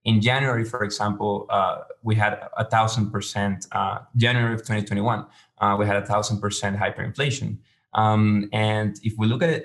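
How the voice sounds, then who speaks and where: Low flat-voiced man. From Venezuela. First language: Spanish. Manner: measured, explanatory, hesitant. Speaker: podcast guest, startup founder. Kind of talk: interview answers.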